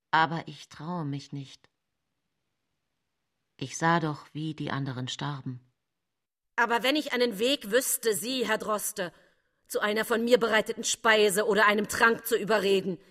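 A woman's voice: mid-range voice, fairly bright tone. A calculating, confiding woman poisoner. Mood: bitter, brooding. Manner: confiding, deliberate, shifting between whisper and voice. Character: accused woman poisoner, widowed, calculating, self-justifying, haunted. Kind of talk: radio drama monologue.

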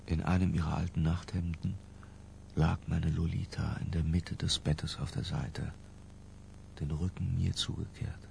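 Obsessive middle-aged guy narrating a radio play, really low creaky voice, hushed and deliberate. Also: a little hiss or hum, full character voice. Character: obsessive, tense middle-aged man confessing in retrospect. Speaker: narrator and lead character of a radio drama. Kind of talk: literary first-person radio drama narration.

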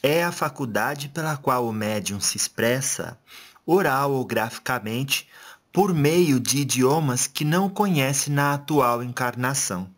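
A man's voice: mid-range voice, measured pace. Chatty male interviewer with loose questions. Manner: relaxed, chatty, filler-heavy questioning. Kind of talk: casual spoken questions.

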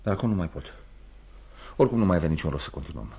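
An actor in a radio play playing an ambitious, guarded young man with a husky voice and a deferential, self-interested way of talking. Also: sits low, expressive, mellow, exaggerated voice. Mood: evasive, earnest. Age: young adult. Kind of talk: radio theatre acting.

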